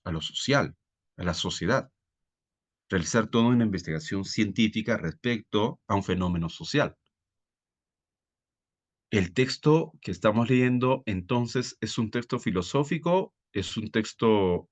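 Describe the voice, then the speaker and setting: A man with a Peruvian Spanish accent teaching a live online class, explaining didactically and often putting questions to his students. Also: fairly low pitch. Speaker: teacher, exam-prep tutor. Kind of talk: live online reading-comprehension lesson.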